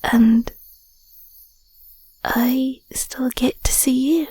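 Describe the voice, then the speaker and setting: A woman voicing an affectionate, bashful young Scottish werewolf girlfriend in an intimate audio roleplay, soft, whispery and a little hesitant, close on the mic. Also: high-pitched, fairly even intonation, very slow, husky, no room sound, exaggerated voice. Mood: sincere, tender. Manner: hesitant, stammering, murmuring endearments. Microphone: close microphone.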